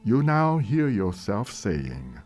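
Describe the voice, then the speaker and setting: A man leading a guided meditation in a gentle, deliberate, measured way, leaving long pauses between short phrases. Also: fairly low pitch, wildly swooping pitch. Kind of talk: slow guided meditation narration. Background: soft meditation music.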